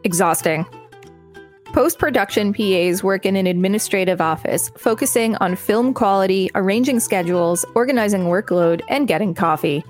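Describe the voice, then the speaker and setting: A woman's voice: mid-range voice, normal speed, faint music behind. A woman co-hosting a podcast, reading an article aloud and adding casual commentary. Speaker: podcast co-host.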